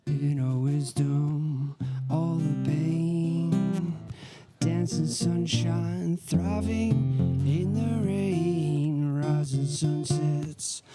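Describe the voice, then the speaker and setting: A woman's voice: sits low, very slow. A woman singer performing a song with guitar accompaniment. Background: acoustic music, guitar.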